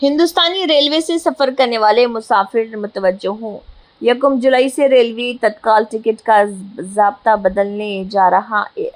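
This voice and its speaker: A woman's voice: a little high, rough recording. A woman news presenter reading scripted news in a formal read-out manner, with an occasional stumble.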